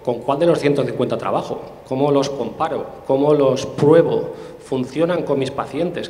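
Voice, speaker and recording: A man's voice: medium pitch, a little mellow. A man from explaining things as an interview guest, talking thoughtfully with frequent hesitations and restarts. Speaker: interview guest, tech specialist. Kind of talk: conversational explanation. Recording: roomy hall with long reverb.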